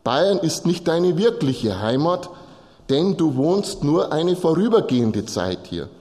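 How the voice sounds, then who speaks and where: A man's voice: a little high, big pitch swings, slow, a little mellow. Male preacher in a reverberant hall. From Bavaria, Germany. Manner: explanatory, direct address with short repeated phrases. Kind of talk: sermon, teaching from Bible texts to a congregation.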